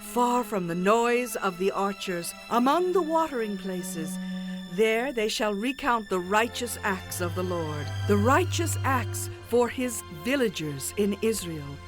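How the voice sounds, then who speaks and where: Woman acting commanding female prophet, solemn, exultant, measured slow delivery, biblical audio drama, clear music behind. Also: mid-range voice, animated, lightly acted. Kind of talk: biblical radio-theatre dramatization, declamatory verse.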